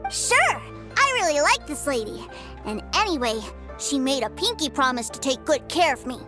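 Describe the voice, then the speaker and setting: An innocent little boy from a video game, very high-pitched and lively. He sounds eager, guileless and adoring, with music clearly behind him. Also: moderate pace. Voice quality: childlike.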